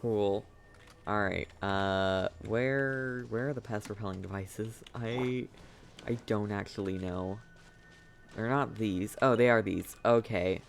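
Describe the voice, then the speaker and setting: A woman's voice: extremely low pitch, slow, creaky. Young lady streaming a game, just chatting along, kinda hesitant, repeats herself, little bit of background noise. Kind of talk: casual playthrough commentary.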